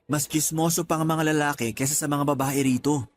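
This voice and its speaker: An actor playing a quiet, serious male office worker in a Tagalog radio drama, restrained and matter-of-fact. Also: a little high, fairly even intonation, fairly quick, slight background noise, natural voice.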